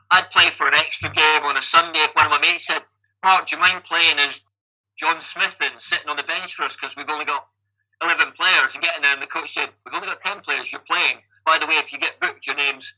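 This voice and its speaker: A Scottish man in his fifties talks through his story as an interview guest. His voice sits a little high and sounds very bright and forward. He keeps restarting his sentences and repeating the opening word as he goes. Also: rough recording. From Scotland. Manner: chatty, repeats words when starting sentences. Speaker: guest athlete and coach.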